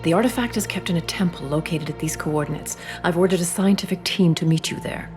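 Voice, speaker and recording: Casting: actress for stately alien envoy, lowish female voice, formal and measured, quietly pleading, set to prominent game score. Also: faint music behind, lightly acted. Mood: grave, earnest. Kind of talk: cinematic sci-fi game dialogue.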